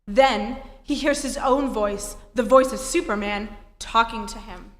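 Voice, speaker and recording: A woman performing as a measured, theatrical narrator of a 1940s superhero radio serial. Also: on the high side, close to an everyday voice. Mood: dramatic, suspenseful. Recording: echoey hall with music behind.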